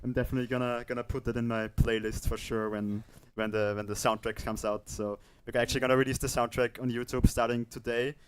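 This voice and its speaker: A man's voice: medium pitch, level tone, quick pace, hardly any pauses, a little hiss or hum. A man hosting a casual livestream discussion, talking enthusiastically about a project.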